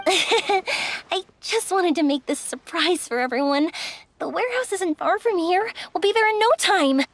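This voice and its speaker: A woman's voice: very high voice, animated, unhurried, dry recording, exaggerated voice. A woman voicing a cheery, persuasive young fireworks maker in a video game, bubbly and coaxing.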